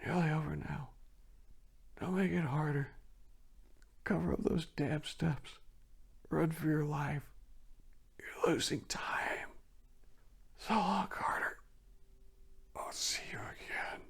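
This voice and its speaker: A man's voice: on the high side, fairly even intonation, slow pace, vocal fry, pauses often, slightly rough, voice pushed up, heavily acted. A man voicing a terrified, dying man calling out from a tomb in a dramatic horror reading. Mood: desperate, resigned. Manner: whispering that rises to shrieking.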